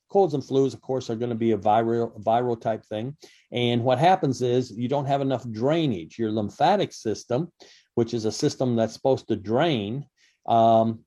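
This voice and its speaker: A man's voice: medium pitch, warm tone. A man co-hosting a casual radio health talk show, rambling with lots of fillers and restarts. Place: radio studio.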